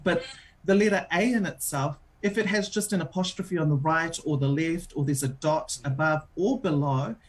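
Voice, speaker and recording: A woman's voice: deep voice, moderate pace, breathy edge. A woman with a New Zealand accent, a radio show host being interviewed on air, talking in a chatty way with many filler ums.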